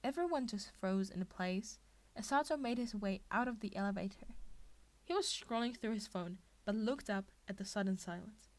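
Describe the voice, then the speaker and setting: A woman's voice: mid-range voice, normal speed, darkish tone. A woman reading a scripted fan fiction story aloud as its narrator. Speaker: podfic reader.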